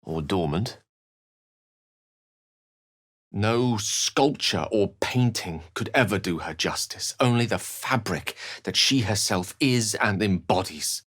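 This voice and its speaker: Bookish fantasy game wizard, deep register, dry acoustics, wordy, theatrical, wry, articulate. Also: male voice, expressive, measured pace, few pauses, fairly bright tone, dry recording. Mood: thoughtful, eloquent. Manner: articulate, wordy, literary phrasing. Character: eloquent, theatrical male human wizard companion, erudite and wry. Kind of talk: fantasy video game voice acting.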